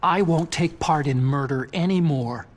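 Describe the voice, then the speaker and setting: An actor playing an earnest, nerdy robotics scientist in a video game cutscene, with a boyish, eager manner. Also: male voice, fairly high pitch, normal speed, close to an everyday voice. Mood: remorseful.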